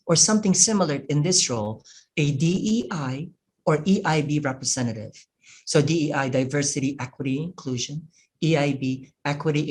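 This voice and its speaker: A webinar facilitator from the Philippines, a Tagalog speaker by birth, presenting over a video call. The delivery is earnest and measured, with a middle-range voice. They walk listeners through their slides step by step. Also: mid-range voice, slightly rough. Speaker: workshop facilitator.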